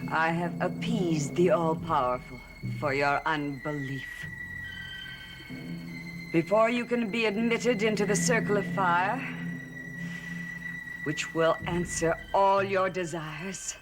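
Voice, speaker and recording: A solemn, commanding coven leader draws out every word in a slow, measured voice. Music sits under her lines on an old television soundtrack. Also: fairly low pitch. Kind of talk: 1960s soap opera acting.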